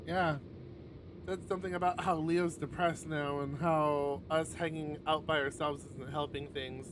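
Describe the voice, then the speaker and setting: A man with a fairly high voice reads a visual novel aloud as a streamer, breaking into informal commentary. He swears freely and talks like he is among friends. There is clear noise behind his voice. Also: flat delivery, measured pace. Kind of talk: casual livestream read-aloud with commentary. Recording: noisy livestream with clear background noise.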